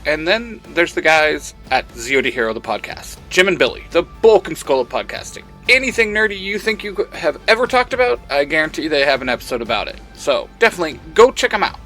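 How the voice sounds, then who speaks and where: A man hosting his own podcast, reading out credits and giving shout-outs. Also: a little high, very animated, fairly quick, few pauses, soft background music. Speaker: podcast creator and host.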